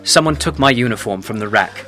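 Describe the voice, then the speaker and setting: An actor playing a nervous, defensive young room service clerk in a radio drama, stiff in manner. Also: male voice, medium pitch, animated, fairly quick, pushed and bright, soft background music. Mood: anxious.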